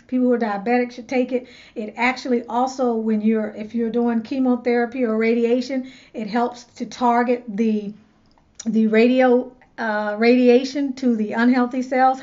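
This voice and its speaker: A woman's voice: on the high side, darkish tone. Chatty woman with muffled lo-fi sound. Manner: chatty, earnest, repeats words while searching. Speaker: loc stylist and channel host. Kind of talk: casual storytelling to camera.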